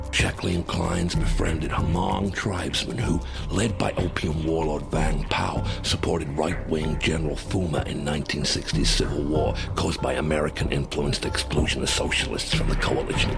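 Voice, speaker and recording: A boozy, cynical American intelligence insider, confides in a very deep voice full of gravel and fry. He is slangy, sardonic and conspiratorial, slipping toward whispers as he gloats through his dramatic monologue. Loud music plays behind him throughout. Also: steady tone, moderate pace. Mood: matter-of-fact, confiding. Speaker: author reading his own work. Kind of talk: dramatic monologue, audio comic narration.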